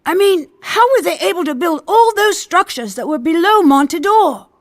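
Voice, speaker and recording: A woman voicing an earnest, inquisitive young apprentice boy in an animated game. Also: very high voice, normal speed. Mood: curious, admiring. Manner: earnest, curious. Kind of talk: animated game cutscene acting.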